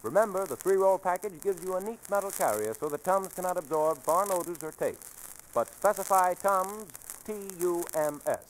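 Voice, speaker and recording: A man with an American accent delivers a vintage radio commercial. His voice sits a little high, with emphatic, careful wording. Faint noise from the old 1940s recording runs underneath. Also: lively intonation, moderate pace, poor audio. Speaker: commercial announcer. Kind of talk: 1940s radio advertising read.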